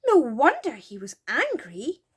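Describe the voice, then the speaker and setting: A woman's voice: high-pitched, huge pitch swings, a little slow, husky, pitched above the performer's own voice, full character voice. A woman voicing an earnest, astonished child in a children's storybook, exclaiming with emphasis. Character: astonished, earnest children exploring an enchanted wood.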